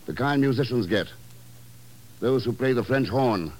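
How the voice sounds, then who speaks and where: An actor playing a cool, probing secret agent in an old radio drama, speaking in a measured, understated way. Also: male voice, mid-range voice, expressive, lightly acted. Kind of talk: 1950s radio drama acting. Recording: slightly noisy 1950s radio recording.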